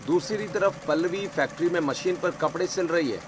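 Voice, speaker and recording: A man's voice: on the high side, moderate pace. A man reading scripted narration of a family story as the storyteller.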